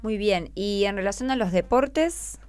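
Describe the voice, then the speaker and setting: A woman's voice: mid-range voice, unhurried, darkish tone, clean tone. A woman with an Argentine accent hosting an unscripted radio interview, encouraging and prompting with short questions. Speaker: radio host interviewing schoolchildren. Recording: faint music behind. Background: faint music.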